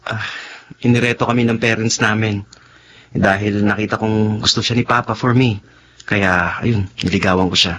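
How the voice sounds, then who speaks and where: An actor playing a kind but evasive young restaurant owner in a Tagalog radio drama, speaking in a halting, hesitant way. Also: male voice, on the low side, steady tone, a bit bright, a little hiss or hum, close to an everyday voice. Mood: awkward, hesitant. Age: young adult.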